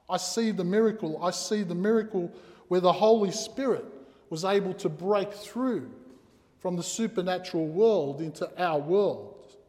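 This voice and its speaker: A man's voice: sits high, darkish tone. A man whose first language is Croatian preaching a sermon in a church, earnest and emphatic, posing rhetorical questions. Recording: reverberant hall sound.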